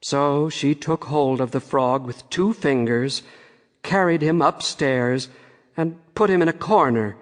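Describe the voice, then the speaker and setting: A man narrating a fairy-tale audiobook in a measured storytelling way, with long reverb on the recording. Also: on the high side.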